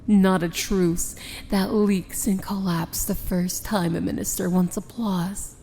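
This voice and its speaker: A commanding evil princess , played by a woman, speaks in a dark, velvety soft voice that often sinks toward a whisper. She is unhurried and formal, proud yet tender, in a fantasy ASMR drama with faint ambient noise behind her. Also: medium pitch, fairly even intonation. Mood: solemn, resolute. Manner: measured, regal, deliberate, formal. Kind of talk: ASMR fantasy romance roleplay, dramatic monologue.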